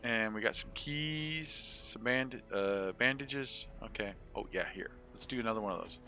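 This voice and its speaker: A man's voice: mid-range voice, moderate pace, pushed and bright. A man in his forties chatting casually and rambling with some salty swearing as he streams a game. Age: 40s. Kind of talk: casual live-stream chat with gameplay commentary.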